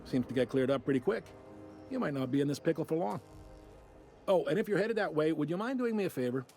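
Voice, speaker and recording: A man's voice: on the high side, animated, quick pace, vocal fry, a little mellow, soft background music. A man playing a wry, folksy space-station customs officer in a video game, with a droll, casually apologetic drawl. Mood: wry, confiding. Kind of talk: video game character acting.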